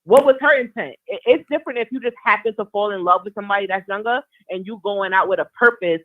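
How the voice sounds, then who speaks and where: A young woman in her twenties makes a blunt, drawn-out argument in a group debate. Her voice comes through thin and tinny, as over a phone line. The sound is dry, with no room around it. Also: medium pitch, no room sound. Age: 20s. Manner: blunt, explains her point at length. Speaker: podcast co-host. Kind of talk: heated casual debate.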